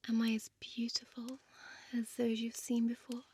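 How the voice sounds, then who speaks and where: A woman playing a seductive, possessive female vampire in an intimate whispered audio roleplay, with slow, deliberate, teasing formal speech, close to the microphone. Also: a little high, completely flat delivery, a little mellow. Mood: teasing, vain. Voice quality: breathy whisper. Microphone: close dry studio microphone.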